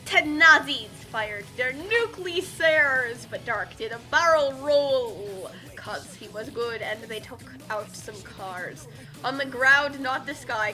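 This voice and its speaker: Female story reader, high-pitched and unhurried, playful dramatic delivery with chatty asides. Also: pushed and bright, rough recording. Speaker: reader and co-host of a group story reading. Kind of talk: dramatic read-aloud of a badly written fan story, with casual asides.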